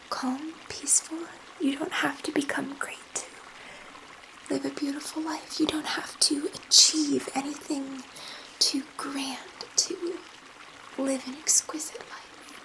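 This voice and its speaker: A woman playing a gentle, curious alien scientist in an ASMR sci-fi roleplay, speaking in a soft, breathy whisper with a hesitant, soothing manner. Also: high voice, steady tone, takes it slowly, low-quality recording. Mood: serene, hopeful.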